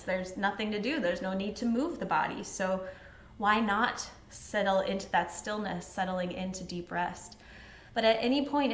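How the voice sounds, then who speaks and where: A woman teaching yoga nidra, guiding relaxation in a soothing, unhurried, gently instructing way. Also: medium pitch. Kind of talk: guided relaxation meditation. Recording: roomy echo with music behind. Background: meditation music, musical instrument.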